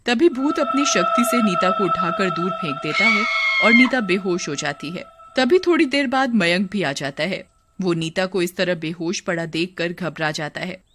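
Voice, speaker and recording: Animated woman narrating a cartoon story. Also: on the low side, normal speed, very noisy. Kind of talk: scripted cartoon horror narration.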